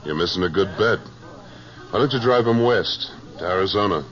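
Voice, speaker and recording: Deep, terse American cattleman-gunfighter for a 1950s radio western, played by a male actor; self-assured, plain-spoken, on a dull old broadcast recording. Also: moderate pace, music in the background, close to an everyday voice. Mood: cool, persuasive. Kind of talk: 1950s radio western acting, first-person narration and dialogue.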